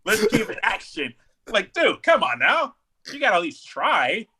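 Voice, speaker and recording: A man's voice: sits high, huge pitch swings, moderate pace, pushed and bright, slightly rough. A man co-hosting a podcast in casual chat, rambling and opinionated, often repeating his phrases. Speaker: podcast co-host.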